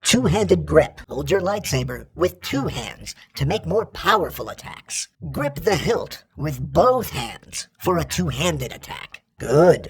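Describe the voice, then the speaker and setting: An actor voicing an exacting combat training droid in a video game, formal and impassive, with a gravelly, husky robotic voice. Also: male voice, on the high side, full character voice. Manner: formal, impassive, precise, instructional. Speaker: combat instructor. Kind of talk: scripted video game tutorial lines.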